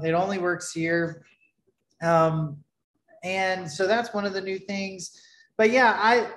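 A man in his thirties giving a relaxed screen-share tutorial on his product, talking loosely with many fillers and restarts from a webcam at his desk. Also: sits high, roomy sound, poor audio. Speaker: developer presenting his product. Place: small home room, indoors.

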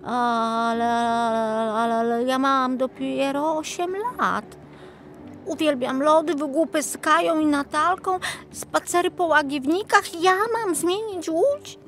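A high, bubbly little girl. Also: steady tone, slow pace, fairly bright tone, soft background music, heavily acted. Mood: surprised, eager. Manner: bubbly, wide-eyed. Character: excitable, cheerful eight-year-old girl. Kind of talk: children's audiobook acting.

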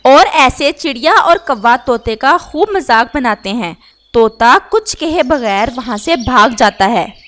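A woman's voice: sits high, animated, measured pace, fairly bright tone. A woman reading scripted narration for a children's story as its narrator.

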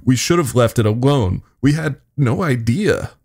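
A man's voice: medium pitch, lively intonation, unhurried, a little mellow, pitched above the performer's own voice. A man voicing a nervous young male intern with secrets in an audio horror story, urgent and blunt. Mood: awed, rattled.

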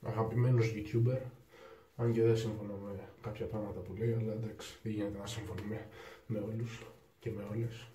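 A young man from Greece chatting unhurriedly while he shaves in a small tiled bathroom, recorded by a camera in front of him. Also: fairly low pitch. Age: young adult. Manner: relaxed, unhurried, with pauses and sighs while shaving. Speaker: shaving vlogger. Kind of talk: casual talk while shaving. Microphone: camera in front at arm's length. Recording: echoey tiled bathroom, faint hiss.

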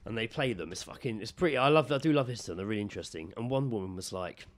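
A man's voice: lowish voice, expressive, racing. A British man co-hosting a comedy podcast, chatting casually and telling anecdotes in a matey, sweary way.

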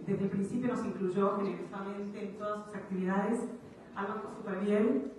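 A young woman from Argentina giving a semi-formal speech into a handheld microphone in a large reception hall, listing items briskly with light asides. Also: mid-range voice, steady tone, a little mellow, distant mic, slight background noise. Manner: quick lists, with light asides. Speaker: event speaker. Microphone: handheld microphone at a podium. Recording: echoey hall, recorded from afar.

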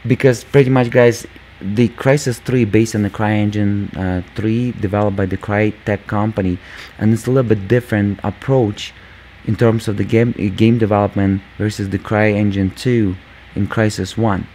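A man hosting a gaming channel, talking casually over gameplay with a squeaky voice, lots of fillers, and calling viewers "guys". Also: flat delivery, normal speed, a little mellow, slight background noise. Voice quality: squeaky, just-woken voice. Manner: rambling, many fillers, addresses viewers as guys.

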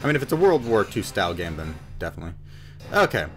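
A man's voice: on the low side, very animated, fast talker. A man giving joking, sarcastic gameplay commentary as host on a headset. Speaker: let's play host. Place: small room indoors. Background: game music.

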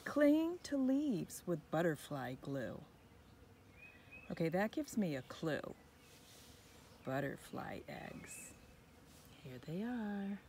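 Slow, expressive woman reading to children. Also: fairly low pitch, vocal fry. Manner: expressive, addressing young children, with whispered asides. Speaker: teacher reading a picture book aloud. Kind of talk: children's story read-aloud. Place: outdoors in a front yard under a tree. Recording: outdoor recording with birds and ambient noise.

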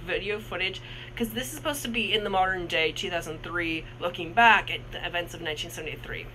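A man's voice: sits high, fast, bright, forceful tone, a little hiss or hum, low-quality recording. A man in his twenties co-hosting a casual movie review, talking in a rambling way with many restarts and fillers. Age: 20s.

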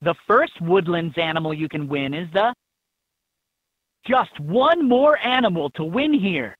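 A woman with a husky voice reading scripted prompts as an encouraging guide in a children's game, on dull toy audio. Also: lowish voice, lively intonation, unhurried, no room sound.

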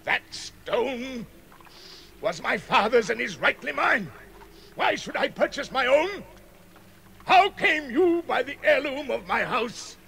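A man's voice: extremely high pitch, expressive, slow, gravelly, sharp tone, slight background noise, full character voice. A man playing a proud, wrathful dwarf king in a radio drama, imperious and declamatory. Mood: indignant.

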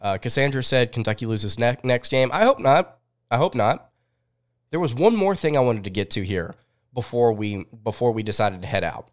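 Young guy in his 20s, bit of a cold, chatting casually on a live sports podcast, mid pitch, close mic. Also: very animated, moderate pace. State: sniffly with a cold. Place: small indoor home studio. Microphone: close desk podcast microphone.

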